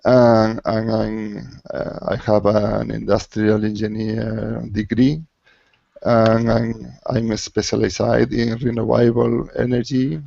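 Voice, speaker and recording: A man's voice: on the low side, level tone, slow, gravelly, warm tone, no room sound. A man with a Spanish accent, a native Spanish speaker learning English, who speaks hesitantly and searches for words. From Almería, Spain. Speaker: English student. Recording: dull online call audio.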